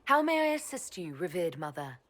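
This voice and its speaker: Composed, expressive lady commander. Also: lowish voice, brisk. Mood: polite, curious. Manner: polite, direct questioning. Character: courteous, composed female leader of an inquisition. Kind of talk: video game dialogue acting.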